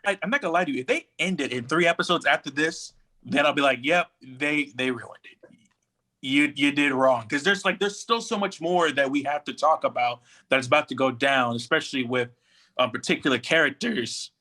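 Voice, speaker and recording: A man's voice: medium pitch, a little fast, bright, forceful tone. A man co-hosting a podcast, talking about anime in an emphatic, colloquial, conversational way. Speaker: podcast co-host. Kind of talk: casual anime discussion.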